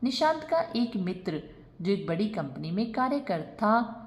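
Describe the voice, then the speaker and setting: A woman's voice: medium pitch, very animated, a little slow. A woman narrating a scripted moral family story as a storyteller.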